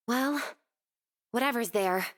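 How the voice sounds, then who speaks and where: A woman's voice: fairly high pitch, a little hiss or hum. An actress playing an earnest, polite, cautious teenage schoolgirl in a video game.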